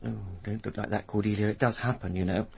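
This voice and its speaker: An actor playing a sardonic, impatient upper-class young Englishman in a British radio drama, with a gravelly, husky voice. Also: on the low side, steady tone, quick pace. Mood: matter-of-fact. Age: young adult. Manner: sardonic, articulate, dismissive.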